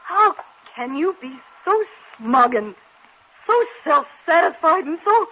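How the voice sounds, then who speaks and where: An old, muffled and slightly noisy radio recording of a lovelorn femme fatale. Her delivery swings widely in pitch, dramatic and emotional in the 1940s melodrama manner. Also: sing-song, slow, exaggerated voice. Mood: indignant.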